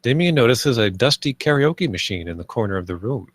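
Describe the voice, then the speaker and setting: A man's voice: medium pitch, lively intonation, a little fast, no room sound. Male script narrator, dry close sound. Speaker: stage directions narrator. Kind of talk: scripted narration at a film table read.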